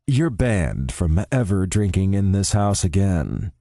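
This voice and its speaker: A man's voice: deep voice, big pitch swings, a little slow, dark tone, no room sound, clean studio sound, exaggerated voice. A man voicing a blunt, teasing young man in an audiobook. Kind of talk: audiobook character voice.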